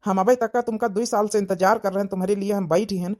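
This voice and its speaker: A young village suitor from a cartoon family drama , voiced by a woman, wounded and pressing. The pitch is middling for a woman. He pleads insistently in an Awadhi accent. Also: fairly even intonation, quick pace, a little mellow, natural voice. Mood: hurt, pleading. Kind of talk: cartoon comedy-drama dialogue in village dialect.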